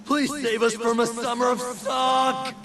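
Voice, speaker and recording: High, creaky surfer dude. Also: male voice, level tone, unhurried. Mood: alarmed. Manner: slangy, dramatic. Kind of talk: video game voice acting. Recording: loud music under the voice.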